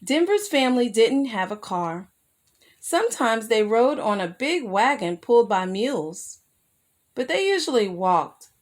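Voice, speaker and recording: A woman reading a children's picture book aloud as a storyteller, expressive and careful, with asides to her young listeners. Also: on the high side, a little slow. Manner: addresses young listeners with simple questions.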